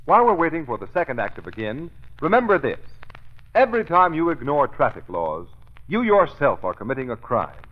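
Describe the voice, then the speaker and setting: A man's voice: fairly high pitch, very animated, brisk. A man announcing on vintage radio with an emphatic salesman's advertising delivery. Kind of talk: 1930s radio advertising pitch. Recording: thin, narrow-band vintage radio recording.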